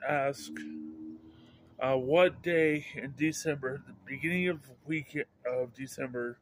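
A middle-aged man vlogging outdoors, talking into a phone held at arm's length, halting and restarting words in an upbeat, rambling way. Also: medium pitch, a little hiss or hum. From Idaho, USA. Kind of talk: rambling casual vlog. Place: outdoors by a house under overcast sky. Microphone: handheld phone camera at arm's length.

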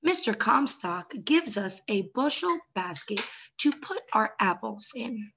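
A woman's voice: a little high, big pitch swings, unhurried, pushed and bright, a little hiss or hum, poor audio. A young adult woman hosting story time, reading a picture book expressively and speaking directly to young children.

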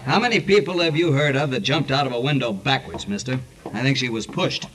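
An actor playing a blunt, skeptical police officer questioning witnesses in a 1940s radio crime drama. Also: male voice, medium pitch, brisk, a bit bright, close to an everyday voice. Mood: skeptical, probing. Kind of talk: 1940s radio noir acting. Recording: noisy 1940s radio recording.

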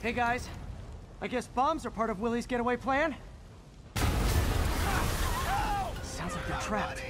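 An actor playing an upbeat, wisecracking young superhero in a video game, quippy and energetic. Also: male voice, extremely high pitch, normal speed, over loud music. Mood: helpful. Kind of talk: video game cutscene acting.

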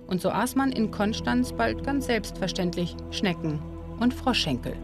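A woman narrating a documentary in a calm, measured, informative way. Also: fairly high pitch. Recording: voice-over with music behind. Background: music.

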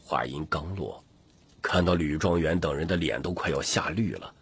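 A man's voice: rumbling low, moderate pace, slightly rough, no room sound. A man reading dramatic scripted fantasy narration as an audiobook narrator.

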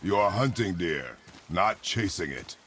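A man playing a stern, battle-hardened warrior father in a video game, gruff and terse, with a very deep, gravelly voice. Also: animated, a little slow, background noise. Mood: stern, scolding. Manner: terse, commanding, clipped sentences. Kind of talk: cinematic video game acting.

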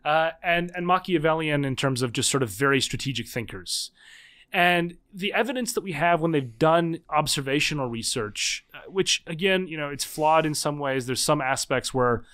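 A man in his thirties, a podcast guest and author, explaining at length in quick conversational speech with frequent restarts and repeated words. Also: on the high side, expressive, a bit bright, clean tone. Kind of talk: conversational interview.

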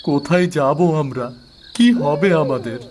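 A man's voice: fairly high pitch, moderate pace, darkish tone, a little hiss or hum, close to an everyday voice. A man voicing an anxious, guilty young husband in a cartoon. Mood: pleading. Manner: anxious, pleading. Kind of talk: Bengali cartoon dubbing.

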